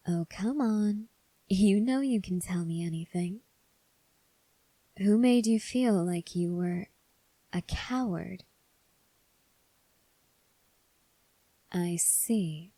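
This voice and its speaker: A woman playing a calm, probing female vampire in an ASMR roleplay, speaking softly and breathily with deliberate pauses. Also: lowish voice, no echo, low-quality recording. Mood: gently coaxing. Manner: soft, breathy, measured delivery with deliberate pauses. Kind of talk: ASMR roleplay addressed to the listener.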